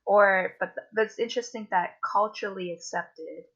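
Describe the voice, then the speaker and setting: A woman's voice: medium pitch, moderate pace, a bit bright, husky. A woman speaking as a guest in a casual podcast discussion, earnest and reflective, with many fillers. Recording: dull lo-fi sound.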